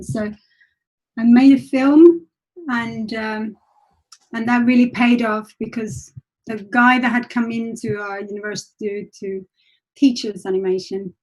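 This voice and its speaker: A woman's voice: a little high, a little mellow, poor audio. A woman from Finland with a Finnish accent, casually recalling her own path as an interview guest in a reflective, hesitant way. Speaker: interview guest, animator and illustrator. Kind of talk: casual personal recollection.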